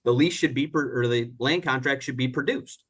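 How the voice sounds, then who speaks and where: Measured male attorney arguing in court. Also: medium pitch, slightly rough. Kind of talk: courtroom argument.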